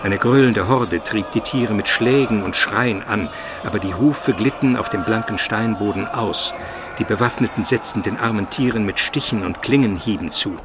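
A man's voice: lowish voice, normal speed, noisy background, natural voice. A man playing an educated Byzantine minister who narrates his memories solemnly and vividly in a radio play. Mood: horrified, solemn. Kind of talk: dramatic scripted first-person narration, radio play.

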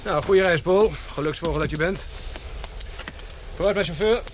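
A man's voice: high-pitched, normal speed, natural voice. A man in a radio drama, playing an inquisitive, sceptical friend who talks in a questioning, incredulous way. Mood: light, uneasy. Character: brisk, inquisitive, sceptical male friend and sidekick. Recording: old radio recording, faint noise and clicks.

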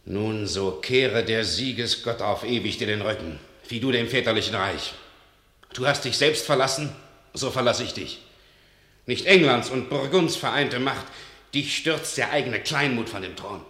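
A man's voice: mid-range voice, moderate pace, lightly acted. A man playing a furious noble knight. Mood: indignant. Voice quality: forceful, declamatory. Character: proud, angry noble knight. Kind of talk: classic radio play acting. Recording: roomy studio radio recording.

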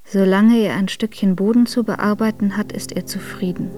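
A woman playing a devoted young exiled noblewoman in a radio drama, reading her letters in a measured, intimate and formal way. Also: medium pitch, fairly even intonation, over music, natural voice. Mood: quietly content.

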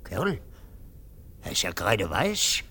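An actor playing a pompous, sentimental and anxious army captain in a theatrical German radio drama. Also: male voice, fairly low pitch, huge pitch swings, takes it slowly, sharp tone, breathy edge, full character voice. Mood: insinuating. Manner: theatrical, swinging from exclamation to insinuating murmur.

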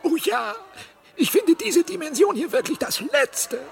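An actor voicing an excitable, condescending alien mouse in a German radio comedy, with a theatrical, exasperated delivery. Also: very high voice, a little slow, vocal fry, exaggerated voice. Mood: agitated.

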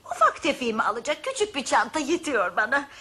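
A woman playing an inquisitive, kindly old lady in a radio drama, husky and polite. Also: high voice, sing-song, fast talker, bright, forceful tone, faint music behind, full character voice. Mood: helpful. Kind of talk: Turkish radio drama acting.

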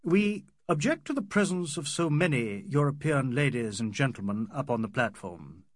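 A man's voice: mid-range voice, very animated, normal speed, no room sound, clean studio sound. A man voicing an eminent, formal barrister in an audiobook, courteous and firm, with an Oxford English accent. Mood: firm, formal objection. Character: eminent Indian barrister, large, formal, coolly objecting.

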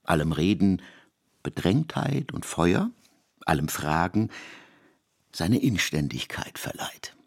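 A man's voice: deep voice, big pitch swings, takes it slowly, vocal fry, long pauses, slightly rough, heavily acted. A man narrating a literary radio play in a declamatory, emphatic way, savouring ornate words.

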